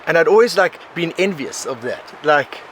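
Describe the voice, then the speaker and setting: A South African man in his forties, a podcast guest, telling his life story in a rambling, animated way, often laughing. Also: a little high, moderate pace. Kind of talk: casual conversational storytelling. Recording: outdoor recording with clear background noise.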